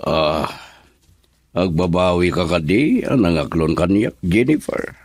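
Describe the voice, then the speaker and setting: A man's voice: sits low, sing-song, a little slow, dry recording, clean studio sound, exaggerated voice. An actor with an Ilocano Filipino accent playing a commanding, evasive businessman husband in a radio drama. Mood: firm, irritated.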